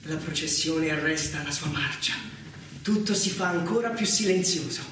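A man narrates a theatre monologue in a dramatic and deliberate style. He is heard from some distance in a very echoey room, with clear noise in the recording. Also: on the high side, sharp tone. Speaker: actor and narrator.

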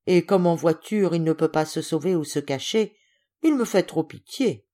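A woman's voice: sits low, normal speed, mellow, lightly acted. A woman voicing a protective, frank young older brother in a storybook, earnest and respectful. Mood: earnest, apologetic. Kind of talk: acted storybook reading.

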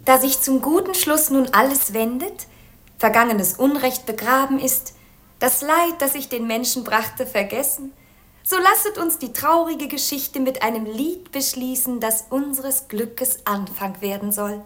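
A proud young princess with a high voice. Her delivery is fervent and declamatory, full of passion, in the manner of 1950s radio theatre. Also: a little slow, fairly bright tone. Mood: solemn. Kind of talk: 1950s German radio play acting.

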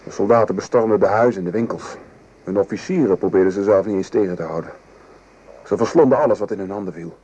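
A man playing a weary French artillery sergeant in a radio play, gravely recounting the horrors of war. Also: fairly low pitch, quick pace, mellow, muffled recording, close to an everyday voice. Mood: grim, appalled. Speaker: actor. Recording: noisy old radio play recording.

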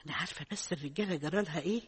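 A woman's voice: low voice, racing, breathy edge. An actress playing a fussing, dramatic older Egyptian mother and grandmother in a radio drama. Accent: Egyptian Arabic. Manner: lively and dramatic, calls her daughters pet names. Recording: music behind the voice.

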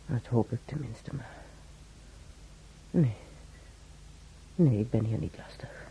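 Gravelly, dark-voiced old woman patient. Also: rumbling low, slow, full character voice. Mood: wistful muttering. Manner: rambling, colloquial, muttering to herself. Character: talkative old female hospital patient, former maid, wry and lonely. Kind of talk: radio drama monologue. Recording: clearly noisy old radio recording.

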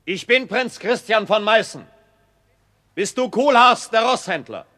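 An actor playing a formal, assured and commanding Saxon prince in a radio drama. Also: male voice, high voice, lively intonation, unhurried, sharp tone, heavily acted. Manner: formal, authoritative. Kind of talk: German radio play acting. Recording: reverberant hall.

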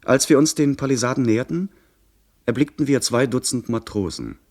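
A man playing an earnest young gentleman who narrates his pirate adventure in measured, literary German radio-drama style. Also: medium pitch, lively intonation, close to an everyday voice. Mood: watchful, recounting.

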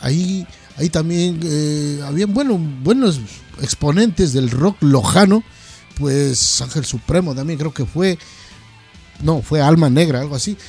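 A man chatting casually as a programme host, haltingly and often repeating words. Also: a little high, darkish tone, husky, soft background music. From Ecuador. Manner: hesitant, repeats words as they go. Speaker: host of a heavy metal radio programme. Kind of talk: casual interview chat.

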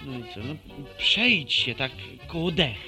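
A man's voice: mid-range voice, big pitch swings, measured pace, over music. An actor playing a scheming, bossy young village man who cajoles and teases in colloquial rural speech in a radio drama. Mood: cajoling. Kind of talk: Polish radio drama acting.

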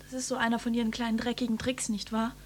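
A woman's voice: fairly high pitch, flat delivery, quick pace, close to an everyday voice. An actress playing a cheeky, defiant, precocious little girl in a radio drama.

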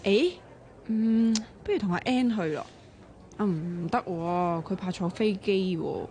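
Sharp-tongued, excitable young Hong Kong woman. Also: medium pitch, a little slow, slight background noise. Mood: persuasive, cheeky. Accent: Hong Kong Cantonese. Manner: chatty, teasing, expressive. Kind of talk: radio drama acting.

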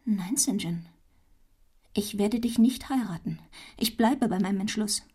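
An actress in a German radio play, playing an earnest, quietly defiant young woman who speaks with measured, firm intensity. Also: medium pitch, breathy edge. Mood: firm refusal.

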